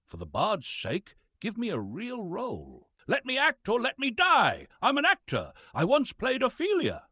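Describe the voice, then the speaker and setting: A man's voice: high-pitched, wildly swooping pitch, unhurried, pushed and bright, slightly rough, no echo, clean studio sound, full character voice. A man playing a pompous, bitter old Shakespearean actor with a British accent, reading aloud in a grandly theatrical manner. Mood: bitter, indignant. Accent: British English.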